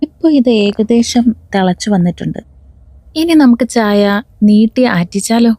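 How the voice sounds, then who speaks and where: A woman's voice: on the high side, moderate pace, warm tone, no echo. A woman narrates a recipe vlog, chatting to viewers and often putting questions to them. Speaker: cooking vlogger.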